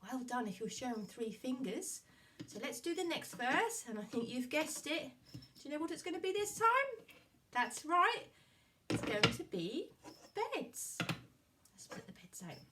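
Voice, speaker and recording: Animated, high-voiced woman storyteller for children. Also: measured pace, heavy background noise. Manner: encouraging, sing-song, addressing young listeners.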